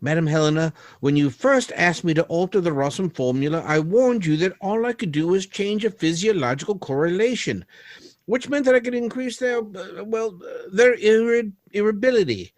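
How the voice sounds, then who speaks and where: Man acting an animated, uneasy scientist doctor. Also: sits high, normal speed, no echo. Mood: grave, uneasy. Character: uneasy male scientist doctor, deferential yet commanding. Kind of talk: radio drama acting.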